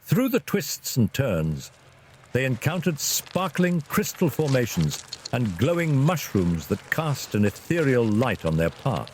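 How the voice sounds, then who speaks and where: Male narrator, mid-pitched, very expressive intonation, dramatic fantasy storytelling, faint ambient noise. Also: a little slow.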